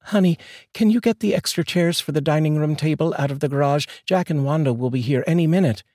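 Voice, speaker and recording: A man voicing a playful, teasing wife in a storybook reading, with a lifted, brighter tone. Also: fairly high pitch, fairly quick, no room sound, studio quality, voice pushed up, natural voice.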